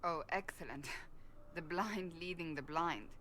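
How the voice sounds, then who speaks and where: An actress voicing a proud, earnest warrior woman of the church in a video game, speaking formally and with measured gravity. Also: fairly low pitch, fairly bright tone, slight background noise. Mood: wry, fond. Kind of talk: video game dialogue acting.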